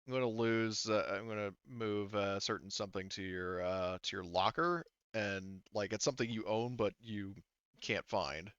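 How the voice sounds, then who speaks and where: A man's voice: fairly low pitch, moderate pace, fairly bright tone, clear, resonant tone, no echo. A man speaking as game master of a tabletop roleplaying game, casually profane, talking players through the rules. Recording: dull, lo-fi close dry voice track.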